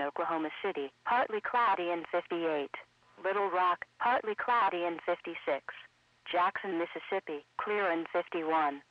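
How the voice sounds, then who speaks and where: A synthetic man's voice reading a scripted weather bulletin in a measured, impersonal way as an automated radio announcer. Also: high voice, no echo. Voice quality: synthetic text-to-speech voice. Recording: muffled radio broadcast.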